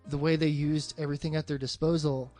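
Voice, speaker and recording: A man's voice: a little high, flat delivery, quick pace, a little mellow, faint music behind. A man hosting a podcast in a casual, rambling movie discussion, swearing freely and sounding enthusiastic. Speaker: podcast host.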